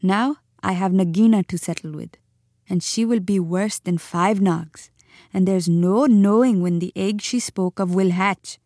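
A woman voicing a brave young male mongoose who talks in a brisk, sharp, impatient way. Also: mid-range voice, dry recording, clean studio sound. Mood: determined.

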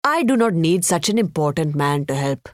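A woman's voice: low voice, big pitch swings, normal speed, dry recording, studio quality. A woman voicing a humble, grateful Indian woman tourist with a thick Indian accent and broken English, in an audiobook. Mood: polite, deferential. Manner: broken English, simple phrasing.

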